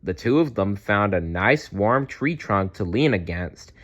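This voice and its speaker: A man reading a children's story aloud in an expressive, deliberate storytelling manner. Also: on the low side, muffled recording. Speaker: storybook reader. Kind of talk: children's read-aloud narration.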